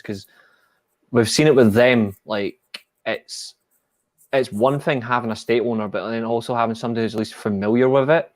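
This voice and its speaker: A man's voice: lowish voice, no echo. A Scottish man co-hosting a casual football podcast, rambling and peppering his talk with "like". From Scotland. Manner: rambling, heavy use of "like". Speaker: co-host.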